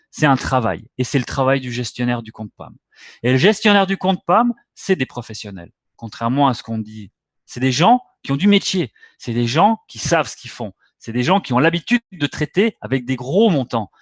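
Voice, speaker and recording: A man's voice: mid-range voice, no room sound, clean studio sound. A man hosting a trading webinar, talking bluntly and lecturing his viewers directly. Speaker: webinar host.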